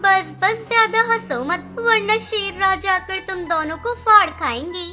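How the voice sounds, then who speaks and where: A woman's voice: very high voice, measured pace. A woman voicing a clever, bold little mother bird in a children's cartoon story, emphatic and scolding. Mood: bluffing, scolding. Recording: music behind the voice.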